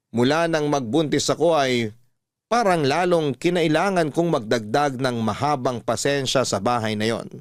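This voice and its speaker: A man narrating a listener's letter in first person on a radio storytelling show, steady and earnest. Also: mid-range voice, no room sound, clean studio sound.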